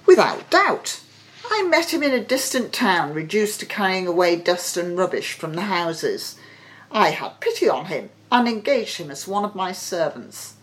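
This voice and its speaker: A woman's voice: fairly low pitch, big pitch swings, normal speed. A woman voicing a confident, courtly young sea captain in a storybook reading, assured and challenging.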